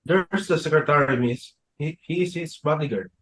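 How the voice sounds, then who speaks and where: A man reading the part of a deferential butler in a student dramatic reading, speaking in a measured, formal way. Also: fairly high pitch, a bit bright, slightly rough, no room sound. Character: polite butler Dunham, adult man. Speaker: student reader.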